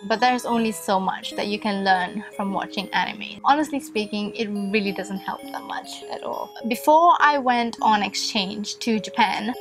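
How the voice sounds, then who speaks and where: Young woman vlogging in her room, chatty and emphatic, faint music box music behind. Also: medium pitch. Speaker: language vlogger. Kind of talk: casual tips vlog to camera. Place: small bedroom with fairy lights. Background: soft music box music.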